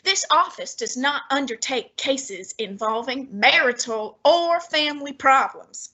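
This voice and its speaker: A pompous, haughty male detective, fat and lazy, played by a girl. The voice sits high and bright, and the lines come out slow and clipped for a student radio play. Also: lively intonation, husky, exaggerated voice. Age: teens.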